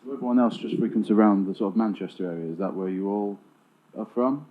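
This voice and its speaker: A man's voice: on the low side, fast. Cheeky male interviewer on muffled audio. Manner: cheeky, teasing, bumbling. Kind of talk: unscripted joking band interview. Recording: muffled phone-like sound.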